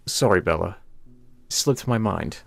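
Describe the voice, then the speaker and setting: A man's voice: lowish voice, creaky, heavily acted. A man playing a wry, unruffled supernatural cop in a horror audio story, speaking in a dry, casual manner. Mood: dry, teasing.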